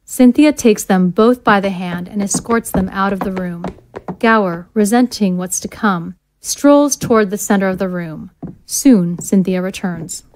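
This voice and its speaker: A woman's voice: mid-range voice, unhurried, a little mellow, slight background noise, rough recording. A woman reading scene directions as scripted narration for an audio drama.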